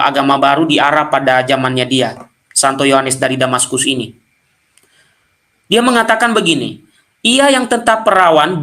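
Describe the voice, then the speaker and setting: A man with a Nias accent from Indonesia giving a combative apologetics talk straight to his viewers, slowing down to press his points. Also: fairly high pitch, fairly bright tone. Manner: combative, addresses viewers directly, slows to stress points. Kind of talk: preaching-style video lecture to viewers.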